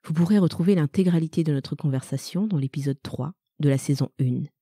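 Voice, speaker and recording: A woman's voice: low-pitched, mellow, breathy edge, no room sound, clean studio sound. A woman from Madagascar whose first language is Malagasy, hosting a conversational podcast interview with frequent fillers. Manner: friendly, many fillers, quick laughs. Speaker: podcast host.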